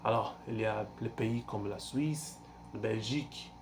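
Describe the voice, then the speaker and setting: A man in his twenties from Brazil, speaking French with a Brazilian Portuguese accent and a Portuguese first language. He talks in a halting, casual way, pausing often to search for words, with frequent fillers and restarts. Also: lowish voice, noisy background. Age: 20s. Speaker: language learner vlogger. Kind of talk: casual self-introduction.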